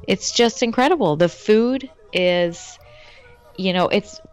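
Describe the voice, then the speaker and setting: A woman's voice: mid-range voice, slow pace, smooth, soft background music. A woman co-hosting a casual podcast chat, playful and teasing in an upbeat way. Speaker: podcast co-host.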